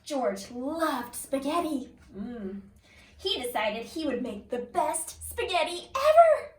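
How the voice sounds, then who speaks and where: A woman teacher reads a children's story slowly, her high voice swinging expressively as she pauses to put questions to little listeners. The sound is roomy and echoing, picked up from a distance. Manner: expressive, exaggerated, pausing to ask young listeners questions. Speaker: kindergarten teacher reading aloud. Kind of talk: animated children's picture-book read-aloud with questions to listeners. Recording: roomy echo, distant from the mic.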